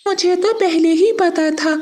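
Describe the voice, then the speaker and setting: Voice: very high-pitched, a little slow, darkish tone. A performer voicing a small, earnest, worried male bird in a children's cartoon story. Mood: worried, doubtful. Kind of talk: animated children's moral story dialogue.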